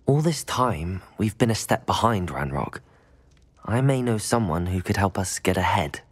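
Casting: bold young student wizard, husky tone with animated pitch, deliberate delivery, game cutscene over music. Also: male voice. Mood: thoughtful. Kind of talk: video game cutscene acting. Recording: music underneath.

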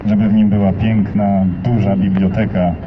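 A man solemnly reading diary entries aloud at an outdoor memorial march, in a slow, measured, grave manner. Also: lowish voice, flat delivery, crowd noise. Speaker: reader of diary excerpts. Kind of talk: solemn reading over loudspeakers. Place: outdoor street march in a city. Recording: distant PA in open air, echoey, dull, noisy.

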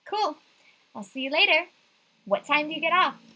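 A woman's voice: high-pitched, lively intonation, normal speed, sharp tone, voice pushed up, poor audio. A woman voicing a casual, teasing young man in a visual novel.

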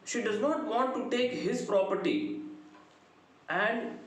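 A middle-aged man with an Indian accent giving a literature lecture, deliberate and emphatic, phrase by phrase. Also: sits high, a bit bright. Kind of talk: lecture summarizing a play. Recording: very echoey room, faint background noise.

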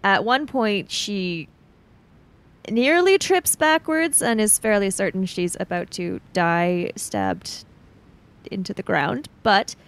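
Slow, creaky-voiced woman gamer. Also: medium pitch, big pitch swings. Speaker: tabletop role-playing player. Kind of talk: improvised in-character narration of a tabletop game.

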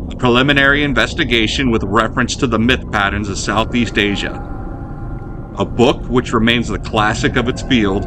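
A man reading a horror story aloud as host and narrator, in a measured, formal way. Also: mid-range voice. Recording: clearly noisy background.

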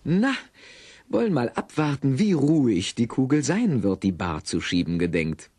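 A man narrating an audio play with expressive storytelling and a husky voice. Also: mid-range voice, normal speed, no room sound.

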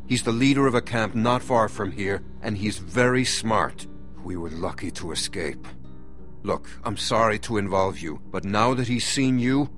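A man playing a protective, wary middle-aged father in a survival video game, speaking in a grave, insistent, deliberate way. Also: fairly low pitch, fairly bright tone.

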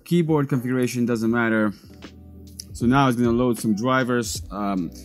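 A man's voice: mid-range voice, normal speed, soft background music. A man in his thirties whose first language is Polish, talking casually through a step-by-step computer tutorial from his workshop on a lapel mic, with frequent fillers and restarts. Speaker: data recovery technician. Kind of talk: casual screen-recorded tutorial. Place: cluttered workshop or lab, indoors. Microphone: clip-on lapel mic.

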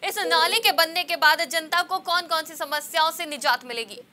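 A woman's voice: very high-pitched, normal speed, bright, forceful tone. A young woman anchoring live news, putting long, formal questions to a reporter. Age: young adult. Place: indoor TV studio.

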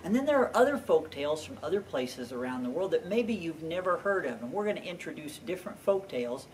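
An older American man in his sixties speaks to young children as an educational presenter. His delivery is lively, with big swings in pitch and deliberate emphasis. He is picked up by a distant microphone with a little room noise. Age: 60s. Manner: animated, deliberate teaching with repeated emphasized phrases. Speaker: children's library storyteller and presenter. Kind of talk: children's educational introduction, addressing young viewers. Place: indoors in a library.

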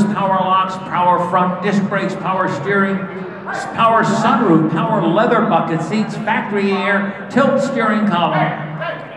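A man working as an auction announcer, reading out a list of specifications to the room. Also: high-pitched. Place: large indoor auction hall, over PA. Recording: echoey auction hall with crowd.

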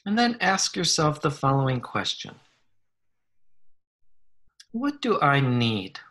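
A man leading a guided meditation in a gentle, unhurried manner, leaving long pauses between short phrases. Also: on the high side, big pitch swings. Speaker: guided meditation leader, psychologist. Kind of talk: slow guided meditation, soothing instruction.

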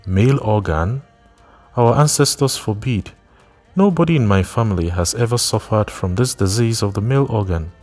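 A man voicing a worried husband who turns stern and gruff with his wife. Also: lowish voice, lively intonation, normal speed, a little mellow, faint music behind.

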